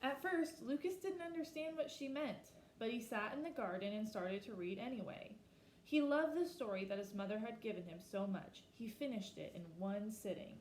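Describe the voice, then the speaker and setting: A woman reading a children's picture book aloud expressively, slowing down for emphasis and pausing to ask her listeners questions. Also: on the high side, a little mellow, reverberant, a little hiss or hum, rough recording. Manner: asks listeners reflective questions. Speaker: storybook reader.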